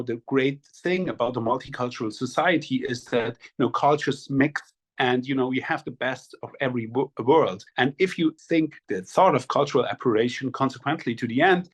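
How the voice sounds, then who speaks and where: A man with a strong German accent, a journalist and author, giving measured interview answers full of fillers. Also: mid-range voice. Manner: measured, explanatory, with frequent fillers.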